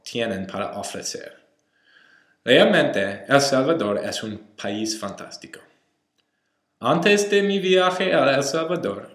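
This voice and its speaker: A young man whose first language is English reads a prepared class talk at a slow, deliberate pace, correcting himself as he goes. His voice sits at an ordinary middle pitch. The room around him gives it a noticeable echo. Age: young adult. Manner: slow, careful reading with self-corrections. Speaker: university student. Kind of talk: read-aloud class presentation. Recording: roomy echo.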